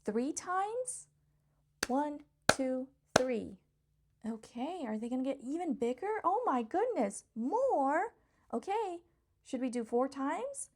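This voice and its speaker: Female children's read-aloud host: high, swooping delivery, unhurried, encouraging, talks straight to little listeners with a touch of husky fry. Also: sing-song. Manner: encouraging, coaxing, addressing small children directly; playful, exclamatory reactions. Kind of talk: interactive children's picture book read-aloud.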